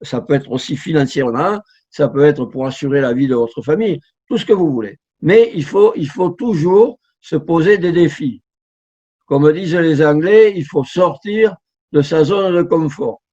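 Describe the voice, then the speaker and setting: A man's voice: fairly high pitch, lively intonation, moderate pace, warm tone, muffled recording. A man in his sixties, a translator giving an online talk, hesitant with many fillers and restarts. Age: 60s. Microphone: online talk, video call.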